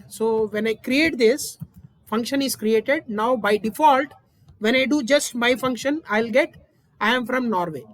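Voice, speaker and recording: A woman's voice: on the high side, fairly bright tone, dry recording. A woman teaching a recorded programming lesson, explaining each step and often checking in with "right?". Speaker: instructor. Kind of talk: screen-recorded coding lesson.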